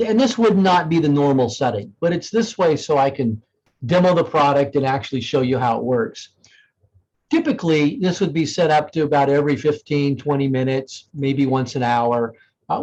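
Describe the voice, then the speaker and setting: A man with a fairly high voice walks through software as a demonstrator. He explains things conversationally, thinking aloud as he goes. The recording is somewhat dull and lo-fi. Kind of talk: informal software demo walkthrough.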